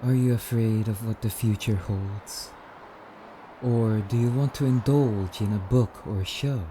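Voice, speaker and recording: A man playing a suave, courtly immortal vampire in an ASMR roleplay, speaking in formal, theatrical, rambling musings with drawn-out phrases. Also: fairly low pitch, dark tone, a little hiss or hum, close to an everyday voice, rough recording. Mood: philosophical, musing.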